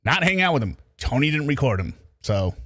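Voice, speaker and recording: A man gives casual commentary in a very animated, mid-pitched voice that swings up and down. The sound is dry, with no room echo. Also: a little fast, breathy edge. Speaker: livestream commentator.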